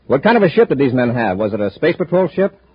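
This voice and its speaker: Actor as fast, commanding old-radio space commander. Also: male voice, mid-range voice, very animated, natural voice. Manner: crisp, authoritative, clipped. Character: brisk, commanding space patrol commander-in-chief. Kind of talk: 1950s radio serial acting. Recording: muffled, hissy 1950s radio recording.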